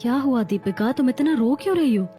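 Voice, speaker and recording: Caring working sister-in-law for a family audio drama, female actor: highish voice, protective, gentle advice. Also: animated, fairly quick, faint music behind. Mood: concerned. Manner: concerned, gently advising. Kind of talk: dramatized moral story.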